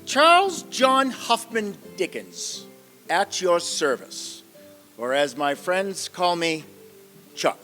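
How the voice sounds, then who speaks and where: A man plays a genial Victorian author narrating a radio play. His voice sits fairly high and swoops dramatically as he savours each phrase. The hall rings around him, with music behind. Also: lively intonation, bright, forceful tone, exaggerated voice. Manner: deliberate, dramatic storytelling. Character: genial, theatrical male Victorian author and storyteller. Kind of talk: old-time radio play narration on stage.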